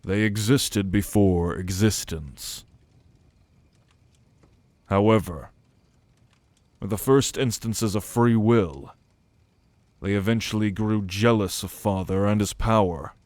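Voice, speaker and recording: A man's voice: lowish voice, slow, long pauses, darkish tone, dry recording. A man playing an ancient, haughty, brooding immortal son of a god in a fantasy audio roleplay, speaking formally and solemnly with disdain. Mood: solemn, bitter.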